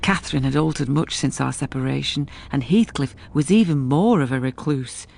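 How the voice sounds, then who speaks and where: An actress playing a level-headed housekeeper who narrates a gothic radio drama in a measured, grave, reflective way. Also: female voice, rumbling low, gravelly, darkish tone, heavily acted.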